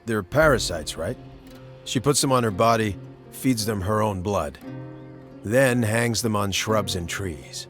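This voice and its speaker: Low-voiced, blunt monster hunter. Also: male voice, a little slow, a bit bright. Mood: matter-of-fact. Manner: laconic, matter-of-fact, clipped colloquial phrasing. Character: world-weary, blunt male monster-hunting mercenary. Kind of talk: fantasy game dialogue. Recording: background music under the dialogue.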